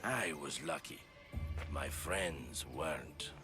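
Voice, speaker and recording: Very low, brooding battle-worn spearman. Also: expressive, slow pace, over music, exaggerated voice. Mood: grave. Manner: solemn, brooding. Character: grim, battle-scarred philosophizing male mercenary spearman. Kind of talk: dramatic video game acting.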